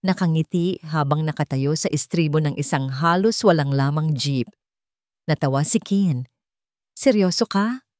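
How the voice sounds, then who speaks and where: A woman's voice: low-pitched, moderate pace, a little mellow, no room sound, clean studio sound. A woman reading scripted narration of a sentimental love story.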